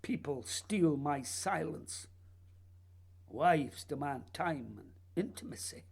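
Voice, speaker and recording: Earnest, husky male writer, played by an actor. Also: fairly high pitch, lively intonation, slow pace, heavily acted. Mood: restless, brooding. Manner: theatrical, pleading, literary phrasing. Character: earnest, restless male writer, needy and intense. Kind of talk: radio play acting.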